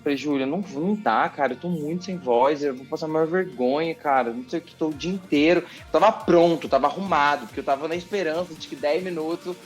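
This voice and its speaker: A man with a Brazilian accent, a TV journalist chatting as a podcast guest, telling a story in an animated, expressive way and repeating words for emphasis. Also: on the high side, fast, hardly any pauses, faint music behind. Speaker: TV journalist and presenter, interview guest. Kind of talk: casual podcast interview storytelling.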